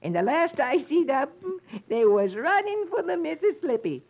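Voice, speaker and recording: A man's voice: very high-pitched, sing-song, normal speed, voice pushed up, full character voice. A man voicing a clever, wry cartoon rabbit, in a 1950s radio story. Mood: wry, pleased. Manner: folksy dialect, wry. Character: clever folksy male cartoon rabbit hero. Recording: muffled old radio recording, music behind.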